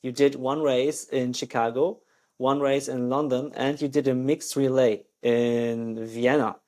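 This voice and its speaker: A man hosts a casual podcast interview, his questions full of hesitant restarts and small laughs. His voice sits at an ordinary middle pitch for a man. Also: fairly even intonation, unhurried, darkish tone. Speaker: podcast host.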